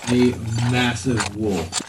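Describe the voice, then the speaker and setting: A man narrating a tabletop game as game master, often pausing mid-sentence as he describes the action. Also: medium pitch, steady tone. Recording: echoey room, distant mic, noise.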